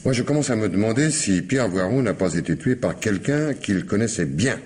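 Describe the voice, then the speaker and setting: Low-voiced, probing police commissioner, animated. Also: male voice, slightly rough. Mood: musing, suspicious. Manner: questioning, musing, pointed pauses. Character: probing, suspicious male police commissioner, shrewd and unhurried. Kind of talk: old French radio mystery drama acting. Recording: noisy old radio recording with ambience.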